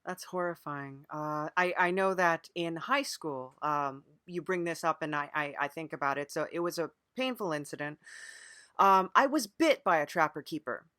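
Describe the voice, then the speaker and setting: A man hosting a mock-serious improvised comedy interview, hesitant and deadpan as he earnestly plays along. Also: fairly high pitch, moderate pace, smooth, no echo, studio quality. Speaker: podcast interviewer.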